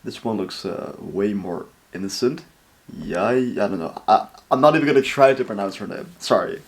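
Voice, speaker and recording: A young man hosting a casual reaction video, excitable and rambling, swearing often. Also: lowish voice, normal speed, vocal fry, a little hiss or hum.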